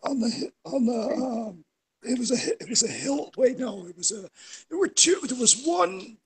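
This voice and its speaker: A man voicing an elderly eyewitness in a high, halting falsetto, losing track of what he saw. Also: expressive, gravelly, a crowd in the background, full character voice. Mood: confused. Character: elderly rambling eyewitness, confused and hesitant.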